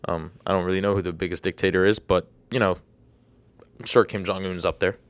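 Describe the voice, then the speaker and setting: A man's voice: low voice, fairly even intonation, brisk, dry recording. A young man in his twenties hosting a solo podcast, rambling and incredulous, with a slightly congested throat. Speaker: podcast host. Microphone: desk microphone.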